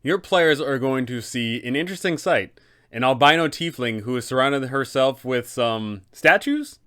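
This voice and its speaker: A man's voice: medium pitch, lively intonation, moderate pace, bright, forceful tone, clear, resonant tone. A man narrating tabletop roleplaying advice in an explanatory style, as a game master.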